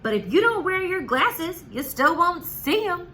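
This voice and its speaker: A woman's voice: very high voice, sing-song, measured pace, sharp tone, slight background noise, pitched above the performer's own voice, full character voice. A woman voicing a sassy young girl who answers back emphatically in a children's storybook read-aloud. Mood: cheeky. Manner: cheeky, emphatic comebacks.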